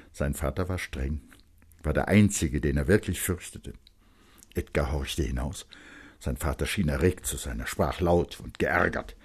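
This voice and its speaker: A man narrating literary fiction in a dramatic, expressive and measured storytelling style. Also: very deep voice, husky.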